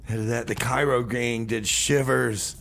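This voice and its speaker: A man's voice: mid-range voice, fairly even intonation, normal speed, vocal fry, fairly bright tone, a little hiss or hum. A man hosting a music radio show with casual track announcements and comic banter.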